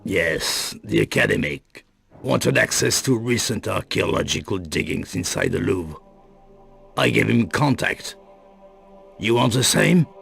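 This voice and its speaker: An actor playing a wary, menacing underworld boss in a video game, guarded and dismissive in manner. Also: male voice, on the low side, expressive, unhurried, vocal fry, fairly bright tone, breathy edge, soft background music, heavily acted. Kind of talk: video game cut-scene acting.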